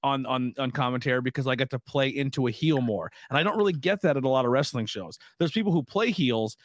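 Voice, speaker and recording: A man's voice: medium pitch, quick pace, no echo, studio quality. A man hosting a casual podcast interview, telling stories in an easygoing way. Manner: easygoing, storytelling, laughs between points. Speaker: podcast host and wrestling color commentator.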